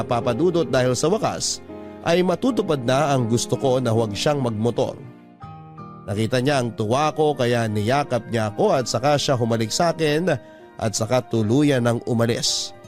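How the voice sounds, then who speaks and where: A man's voice: medium pitch, normal speed, music in the background. Man narrating a heartfelt letter. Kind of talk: dramatic letter reading in radio-program style.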